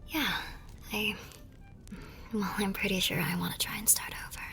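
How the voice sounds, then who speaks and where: A woman playing a tender, teasing young war nurse and sweetheart in an intimate ASMR romance roleplay, murmuring softly and breathily. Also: mid-range voice, steady tone, moderate pace, soft background music. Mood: hopeful, tender. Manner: soft, breathy, affectionate murmuring.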